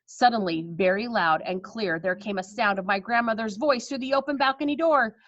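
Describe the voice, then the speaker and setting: A woman reading a children's story aloud with expressive narration, on a slightly dull recording. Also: a little high, moderate pace, no room sound.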